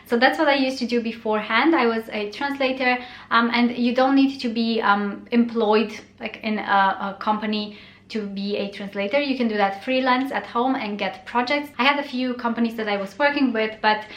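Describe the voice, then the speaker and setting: A young woman, a native Romanian speaker, chats to camera in a slightly high voice. She sounds friendly and loose, with plenty of "um"s. The sound carries a noticeable room echo. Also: normal speed, fairly bright tone. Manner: chatty, friendly, many fillers. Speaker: blogger and YouTuber. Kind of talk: casual talk to camera. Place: bright bedroom, indoors.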